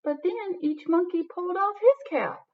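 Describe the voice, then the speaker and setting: High-voiced woman reading a picture book aloud. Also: moderate pace, narrow, tinny audio, slight background noise. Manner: expressive, asks the listeners questions. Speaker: teacher reading aloud to children. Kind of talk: storybook read-aloud for young children. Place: small home office or classroom room indoors. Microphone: webcam or laptop mic at arm's length.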